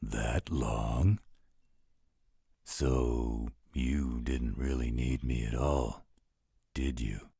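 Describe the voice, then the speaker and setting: A man playing a gruff, overprotective werewolf guardian with a husky, gravelly voice, teasing yet loyal, in a roleplay audio drama. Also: rumbling low, drawn-out words, long pauses, warm tone, no room sound, clean studio sound, exaggerated voice. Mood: wounded, sarcastic.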